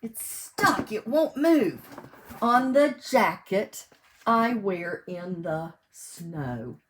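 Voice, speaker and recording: A woman reads a picture book to small children in the manner of a preschool teacher. Her mid-pitched voice rises and falls in a lively sing-song. She takes her time, explaining things simply and putting questions to the children. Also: drawn-out words, background noise. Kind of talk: read-aloud storytime for young children. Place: indoors at home.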